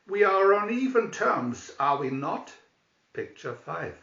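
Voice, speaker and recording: Echoey, slow, animated male storyteller. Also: on the high side. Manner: expressive, theatrical storytelling. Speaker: storybook reader. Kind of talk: scripted story narration for children. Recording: echoey room, distant dull microphone.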